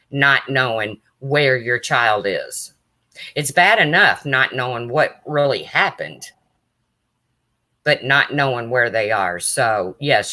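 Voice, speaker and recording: Deep raspy woman chatting on a live stream. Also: sharp tone. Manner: chatty, answers viewers' comments aloud by name. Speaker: true crime livestreamer. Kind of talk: casual live stream chat.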